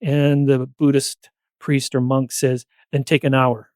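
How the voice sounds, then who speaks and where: A man's voice: medium pitch, level tone, measured pace, darkish tone. A man with a mid-range voice chats on a podcast panel. He muses in a conversational way, and the sound is very dry, with no room echo. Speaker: podcast speaker.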